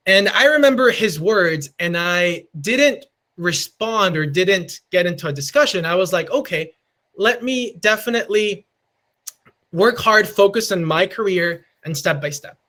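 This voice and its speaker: A man's voice: sits high, animated, unhurried, sharp tone. A man in his twenties from Peru with a Peruvian Spanish accent, giving an earnest motivational talk and slipping Spanish phrases into his storytelling. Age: 20s. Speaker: speaker giving a lightning talk.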